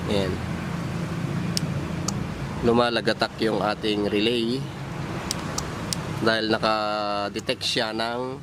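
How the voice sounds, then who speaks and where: A man with a Filipino accent giving an informal hands-on technical demonstration, halting and thinking aloud as he points things out. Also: on the low side, flat delivery, noisy background. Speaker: electronics hobbyist presenter.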